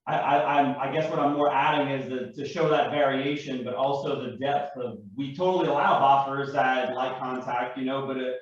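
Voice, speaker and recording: A man explaining things casually in a halting, wordy way, often correcting himself as he goes. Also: mid-range voice, fairly even intonation. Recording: echoey room, distant mic, dull sound.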